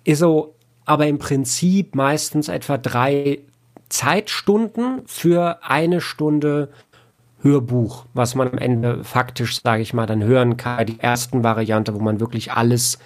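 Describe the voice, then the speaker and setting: A man's voice: medium pitch, measured pace. Man explaining his work, casual and hesitant. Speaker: audiobook editor, producer.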